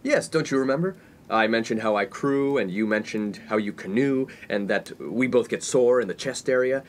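A man's voice: medium pitch, moderate pace, a little hiss or hum, close to an everyday voice. A young man playing a smug, pompous, oblivious college playboy in a comedy radio play. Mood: smugly assured. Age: young adult. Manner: pompous, self-important. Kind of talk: 1950s-set comedy radio play acting.

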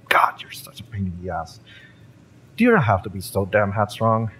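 A man playing a cocky, exasperated mercenary in a romance audio roleplay, sardonic and menacing in a deliberate, taunting way. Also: fairly low pitch, lively intonation, bright, forceful tone, slightly rough, exaggerated voice. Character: fiery male mercenary, cocky, exasperated, menacing yet familiar.

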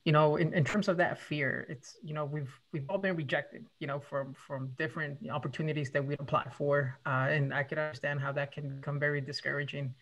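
Brief: man with a fairly high voice, reassuring, webinar remarks. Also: fairly even intonation, a little fast, few pauses, fairly bright tone, low-quality recording. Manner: reassuring, many "you know" fillers.

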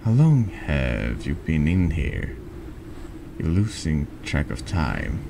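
A man with a very deep voice narrates a horror text adventure at an unhurried pace. His delivery is halting, reading out both narration and dialogue in an ASMR-like style, with some noise behind him. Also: sing-song, vocal fry. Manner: halting reading, stumbling over words. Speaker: streamer reading a text adventure game aloud. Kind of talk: slow narrated read-aloud of horror story dialogue, ASMR-like.

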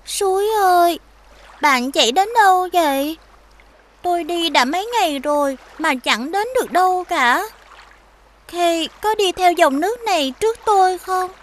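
A woman voicing a sweet, earnest young princess in an animated fairy tale, childlike and polite. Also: very high-pitched, unhurried. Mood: lost, plaintive.

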